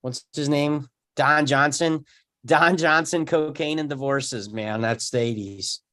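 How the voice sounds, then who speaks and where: A man's voice: fairly high pitch, measured pace, pushed and bright. A man in his thirties chatting casually, thinking aloud in loose, slangy phrasing. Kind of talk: casual group chat.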